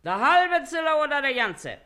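Bossy naggy german mum in a radio play, very animated up and down. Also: female voice, high-pitched, moderate pace, heavily acted. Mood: nagging. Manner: nagging, blustery, colloquial. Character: bossy, scolding, shrewd working-class mother and wife.

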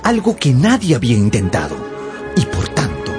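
Guy narrating a radio novel, dramatic n animated, medium pitch, music playing behind. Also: moderate pace. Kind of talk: dramatic scripted storytelling for radio.

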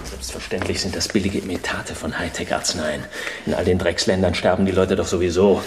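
An actor playing an arrogant, callous villainous doctor in a crime radio play, smug and contemptuous in a cold way. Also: male voice, deep voice, level tone, a little fast, lightly acted. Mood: smug, menacing. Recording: roomy echo, faint noise.

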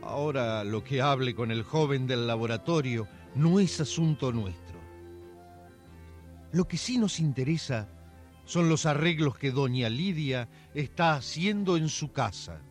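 A man narrating a radio drama in a measured, knowing, confiding way. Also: medium pitch, animated, faint music behind, lightly acted.

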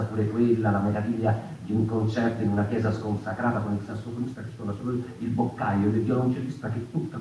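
An actor in his fifties playing a reflective, impassioned stage performer in a halting theatrical monologue that repeats words and phrases. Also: on the low side, mellow, lightly acted. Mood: wistful, musing, then fervent, swelling. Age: 50s. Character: impassioned fifty-year-old stage performer musing on freedom. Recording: echoey, distant, noisy stage room.

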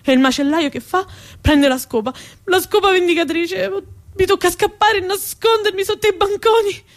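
A woman's voice: extremely high pitch, moderate pace, pitched above the performer's own voice. A woman performing a comic theatrical monologue as an indignant, sarcastic, foul-mouthed female rat who sees herself as a proper lady. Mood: disgusted, scornful. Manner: ranting, sarcastic, emphatic.

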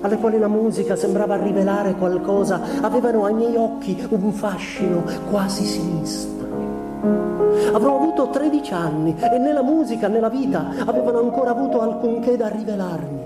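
A man acting a sensitive, melancholy young husband in a literary radio monologue, with measured, introspective phrasing and deliberate pauses. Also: high-pitched, over loud music, natural voice. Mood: reflective, intimate. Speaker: stage actor. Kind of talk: literary dramatic monologue, letter read aloud. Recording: echoey room with faint background noise.

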